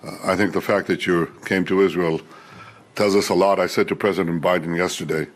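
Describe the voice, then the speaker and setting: A man giving measured, earnest diplomatic remarks as a statesman. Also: deep voice, steady tone.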